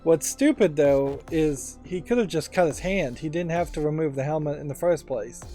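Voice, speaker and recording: A man's voice: on the high side, moderate pace, warm tone, faint music behind. A man hosting a let's play, giving casual game commentary in a musing, analytical way.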